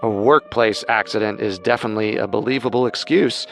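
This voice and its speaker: A man co-hosting a podcast, reading scripted true-crime narration in a measured storytelling manner. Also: lowish voice, creaky, soft background music.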